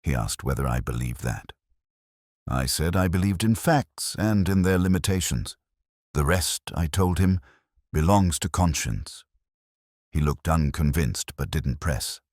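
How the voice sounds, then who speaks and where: A man reading a first-person detective drama as narrator, in a measured, literary, brooding manner. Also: rumbling low, expressive, darkish tone, no echo, clean studio sound.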